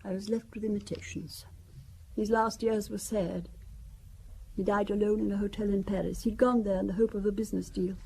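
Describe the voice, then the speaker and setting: A woman's voice: medium pitch, flat delivery, a little fast, gravelly, warm tone, slight background noise, close to an everyday voice, rough recording. An actress playing a wealthy older widow who talks about her family in a wry, confiding, gossipy way, in a radio drama. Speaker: Valentine Besson.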